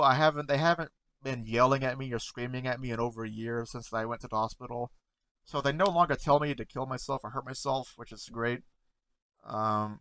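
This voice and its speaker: A man in casual talk to camera, halting and stuttering, repeating words and often trailing off softly, close to the mic at home. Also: medium pitch, clear, resonant tone, no room sound. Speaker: content creator reacting to memes.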